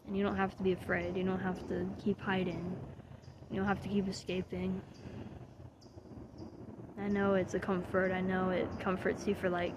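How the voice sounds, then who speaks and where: Earnest young woman with a gravelly voice. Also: mid-range voice, highs cut off, noisy background. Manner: earnest and heartfelt, swearing, trailing off with soft laughs. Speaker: vlogger. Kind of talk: candid motivational monologue.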